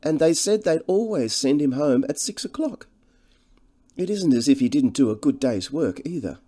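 A man's voice: a little high, moderate pace, pitched above the performer's own voice. A man voicing a fretful, humble working-class English countrywoman, deferential and hesitant, as in an audiobook. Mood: fretful, preoccupied. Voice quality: monotonous.

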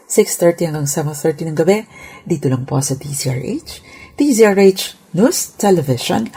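Husky, mellow woman explaining law. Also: medium pitch. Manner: formal, polite, instructive. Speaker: lawyer and radio legal segment host. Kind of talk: scripted legal explainer with English terms mixed in.

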